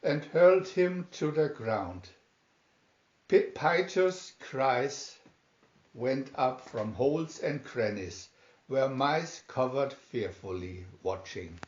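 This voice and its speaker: A man reading a children's adventure story aloud as scripted narration, with dramatic, deliberate, emphatic phrasing. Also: mid-range voice, animated, darkish tone. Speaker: reader of children's picture booklets. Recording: dull, echoey home recording.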